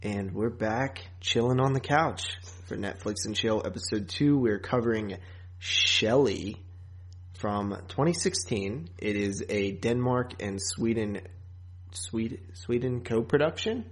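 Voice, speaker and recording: A man's voice: lowish voice, takes it slowly. A man chatting casually and with a fan's enthusiasm as a podcast co-host about horror films.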